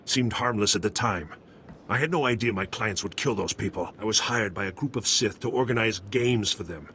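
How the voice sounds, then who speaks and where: An actor playing a shady alien bounty hunter in a video game, curt, defensive and matter-of-fact, with some background ambience. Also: male voice, fairly low pitch, a little fast, pushed and bright, slight background noise. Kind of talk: dramatic video game acting.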